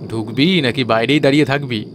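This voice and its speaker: A man's voice: medium pitch, brisk, noisy background, lightly acted. Man acting a cocky, mocking young skeptic. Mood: scoffing. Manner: mocking, colloquial. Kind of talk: audio drama dialogue in a Bengali ghost story.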